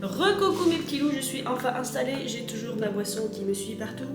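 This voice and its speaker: Chatty woman in her thirties, rather high voice, a bit stuffy with a cold, lots of fillers, recorded in an echoey kitchen. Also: brisk, loud music behind the voice. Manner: chatty, rambling, many fillers. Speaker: vlogger. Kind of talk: casual unboxing chat. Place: home kitchen.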